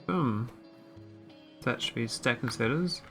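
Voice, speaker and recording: A man's voice: mid-range voice, takes it slowly, fairly bright tone, faint music behind. A man casually commentating his own gameplay, thinking aloud and rambling with fillers into a desk microphone. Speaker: gameplay streamer. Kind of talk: casual let's-play commentary.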